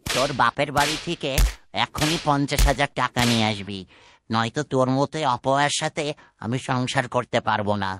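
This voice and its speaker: A man's voice: mid-range voice, brisk, noisy background, natural voice. A man voicing a greedy, cruel husband who scolds his wife and demands dowry money, in a Bengali cartoon. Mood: harsh. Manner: harsh, scolding.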